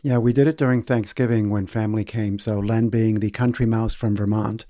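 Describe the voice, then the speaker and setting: A man's voice: lowish voice, fairly even intonation, fairly quick. A man born in Cuba telling his own story in a good-humored way for a podcast. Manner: warm, good-humored, laughs lightly. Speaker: interviewee. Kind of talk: personal storytelling.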